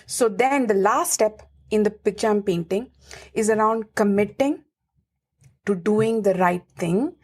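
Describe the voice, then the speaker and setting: A woman's voice: mid-range voice, measured pace. A woman with an Indian accent, a lawyer and advisor, giving earnest, emphatic answers as a podcast guest. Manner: earnest, emphatic, lively explaining. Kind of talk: podcast interview.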